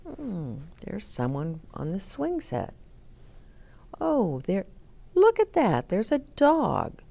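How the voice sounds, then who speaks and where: A woman's voice: medium pitch, wildly swooping pitch, slow pace, vocal fry, dark tone, slight background noise. A woman hosting a story time for young children, reading aloud and chatting expressively, explaining things simply and asking the children questions.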